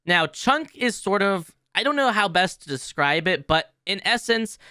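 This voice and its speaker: A man with a fairly high, bright voice walks through a software tutorial casually. His pitch moves in a lively way, and his explanations are informal. The sound is clean and dry. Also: a little slow, clear, resonant tone, no echo. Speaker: tutorial host.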